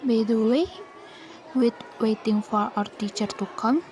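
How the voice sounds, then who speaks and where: A woman's voice: on the high side, unhurried, darkish tone, lightly acted. A young woman playing a friendly, teasing teenage schoolgirl in a student-made radio drama. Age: young adult. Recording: noisy amateur recording, background chatter.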